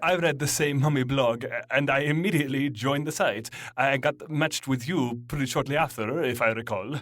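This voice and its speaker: A man playing an awkward, lovestruck centuries-old vampire in a comedy audio drama, speaking bashfully with halting stammers. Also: mid-range voice, fairly bright tone, no room sound, clean studio sound, lightly acted. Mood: smitten. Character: lovestruck, awkward centuries-old male vampire telling his dating story. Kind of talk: mock dating testimonial in comedy audio drama.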